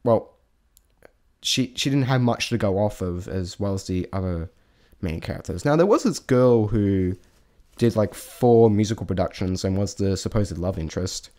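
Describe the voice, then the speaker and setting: A man's voice: fairly low pitch, normal speed, warm tone. A man giving a casual, rambling spoken review, often restarting his sentences and stating blunt, informal opinions. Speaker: vlogger reviewing a stage show.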